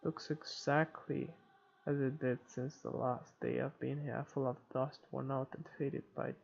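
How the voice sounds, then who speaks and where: A man's voice: medium pitch, flat delivery, a little slow, vocal fry. A man reading visual novel text aloud as a let's player, stumbling now and then and correcting himself as he goes. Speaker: video game let's player. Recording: dull lo-fi home recording, faint noise.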